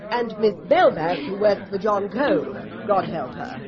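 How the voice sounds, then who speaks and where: An actress playing a brisk, dryly witty secretary in a British radio drama. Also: female voice, mid-range voice, very animated, muffled recording, a crowd in the background. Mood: brisk, wry. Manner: brisk, dry.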